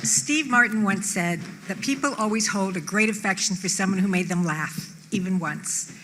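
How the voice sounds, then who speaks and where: A woman's voice: medium pitch, normal speed, a crowd in the background. A woman presenting an award from a theatre stage with scripted remarks. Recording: echoing hall, faint noise.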